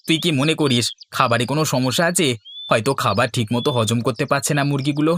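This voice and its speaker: A man's voice: medium pitch, fast, a bit bright, a little hiss or hum, close to an everyday voice. A man playing an earnest village chicken farmer in scripted cartoon dialogue. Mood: worried.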